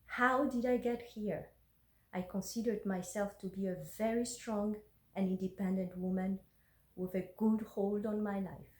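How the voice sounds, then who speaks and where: Woman, first language French, slow, hesitant with fillers, phone recording, roomy echo. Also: mid-range voice, steady tone, darkish tone. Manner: hesitant, with many ums and uhs. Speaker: intuitive energy worker. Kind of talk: personal vlog and reading her journal aloud.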